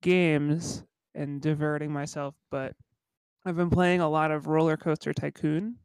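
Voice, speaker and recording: A congested man rambles through a casual podcast chat, his voice somewhat high and gravelly. He sounds smooth and resonant despite the stuffiness. The recording is close and dry. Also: flat delivery, measured pace, darkish tone, no room sound. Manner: rambling, self-deprecating, frequent "like". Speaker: podcast co-host.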